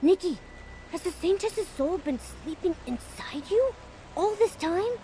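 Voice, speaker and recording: A woman voicing a small, curious, excitable companion creature in a game, expressive and inquisitive. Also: very high-pitched, unhurried, a little hiss or hum. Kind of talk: game cutscene acting.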